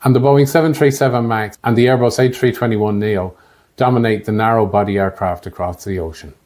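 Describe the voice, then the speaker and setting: Irish male narrator, late fifties, low-pitched, measured delivery for an informative podcast. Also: darkish tone. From Ireland. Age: late 50s. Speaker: podcast host, air traffic controller. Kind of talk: scripted narration.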